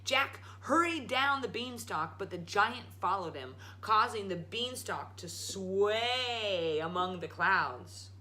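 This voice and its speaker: Woman, children's storyteller, bright voice, highly animated, dramatic emphatic narration. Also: slow, hardly any pauses. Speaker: storyteller, show host. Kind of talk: expressive children's story read-aloud.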